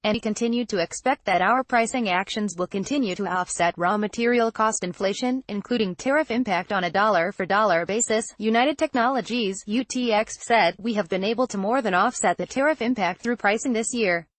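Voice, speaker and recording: A woman narrating a scripted financial news article in a measured, formal read-aloud delivery. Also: mid-range voice, no room sound.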